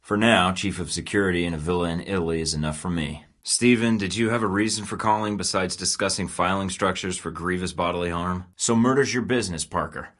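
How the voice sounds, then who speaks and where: A hardened spy from a video game, terse and sardonic, with a very deep, low voice. He talks in cold, clipped threats and dry jabs. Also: fairly quick, hardly any pauses, fairly bright tone. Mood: cold, defiant. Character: hardened male spy in his thirties, defiant. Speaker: game protagonist, secret agent.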